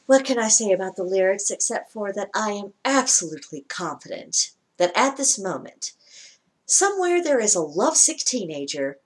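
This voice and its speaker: Wry, chatty woman with a lowish voice. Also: poor audio. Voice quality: lower-pitched voice for a woman. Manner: wry, chatty, laughs often mid-sentence. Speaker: vlogger reacting to a broadcast. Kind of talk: casual spoken commentary.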